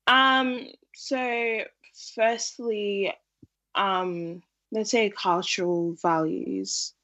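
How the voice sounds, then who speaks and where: A woman born in Zimbabwe and raised in Australia, answering interview questions in an earnest, measured way. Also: mid-range voice, steady tone, fairly bright tone, lo-fi sound. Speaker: interview guest, entrepreneur.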